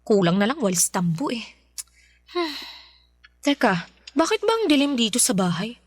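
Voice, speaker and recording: A woman's voice: on the high side, very animated, moderate pace, no echo, clean studio sound. A woman acting a frustrated young working girlfriend in a radio drama, dramatic and exasperated, with a Filipino accent. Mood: weary, grumbling. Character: frustrated young working woman nagging her live-in boyfriend.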